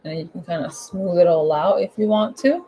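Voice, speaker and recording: A woman with a hoarse, husky voice giving a casual, chatty step-by-step painting tutorial at home, repeating her instructions in informal language. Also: lowish voice, lively intonation, moderate pace, warm tone, slight background noise.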